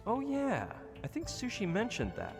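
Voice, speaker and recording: A man's voice: very high-pitched, wildly swooping pitch, moderate pace, over music, heavily acted. A man voicing a wisecracking young adventurer in a video game, talking in a wry, conversational way. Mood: casual.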